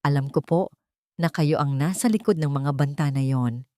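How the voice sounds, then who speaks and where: A woman's voice: deep voice, moderate pace, dark tone, no room sound, studio quality, close to an everyday voice. A woman with a Filipino accent voicing a timid but brave young waitress, polite and earnest, in a romance story. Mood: firm, brave.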